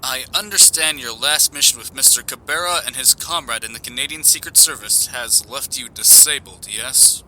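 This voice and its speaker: Bright, courteous government doctor on speakerphone. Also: male voice, mid-range voice, moderate pace, few pauses, a little hiss or hum, poor audio. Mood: genial, formal. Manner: formal, polite, careful. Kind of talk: audio drama acting.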